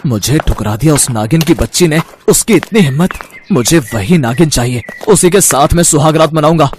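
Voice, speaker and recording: A man's voice: a little high, expressive, moderate pace, noisy background, natural voice. A man playing a wicked, lovesick, scheming snake charmer in a cartoon, with a melodramatic manner. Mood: bitter, scheming. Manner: melodramatic, pleading and gloating.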